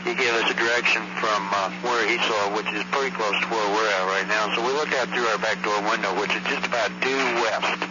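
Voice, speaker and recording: Middle-aged American male caller, low voice, matter-of-fact and halting, on a muffled old phone recording. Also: fairly even intonation. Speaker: caller, eyewitness reporting a sighting. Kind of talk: informal phone report. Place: on a telephone at home. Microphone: telephone handset. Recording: noisy muffled archival phone line.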